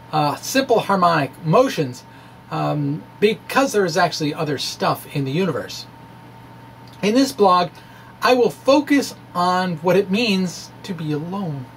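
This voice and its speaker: A middle-aged man giving a dramatic reading of a science blog, with deliberate, emphatic phrasing and informal asides. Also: high-pitched, slight background noise. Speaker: presenter, science blogger.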